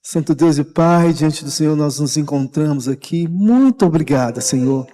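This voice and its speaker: A man's voice: on the high side, moderate pace, dark tone. A man with a Brazilian Portuguese accent, a pastor leading a Bible study, talking informally and animatedly, repeating words as he encourages the church. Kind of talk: sermon, informal. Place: church hall. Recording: long church reverb.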